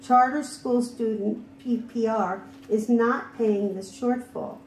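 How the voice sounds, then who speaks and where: A woman's voice: fairly high pitch, steady tone, slow pace, darkish tone. A woman, a former school district director, reading prepared public testimony firmly and asking pointed questions. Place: hearing room. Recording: echoey room with faint background noise.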